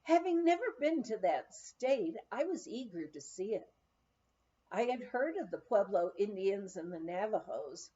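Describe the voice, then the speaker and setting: Woman, memoir read-aloud, animated intonation, unhurried storytelling, expressive emphasis, dull lo-fi sound. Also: medium pitch. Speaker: audiobook reader of a memoir. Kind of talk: read-aloud first-person memoir narration.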